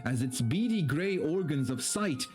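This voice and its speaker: A man's voice: on the high side, expressive, a little slow, darkish tone, soft background music. A man streaming a dramatic story reading, with theatrical narration and casual, mock-exasperated asides.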